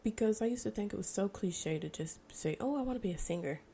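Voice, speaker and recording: A woman's voice: medium pitch, animated, fast, gravelly, dark tone. An American woman in her twenties talking informally and reflectively in a casual solo podcast monologue. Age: 20s. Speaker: podcast host. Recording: noticeable background noise.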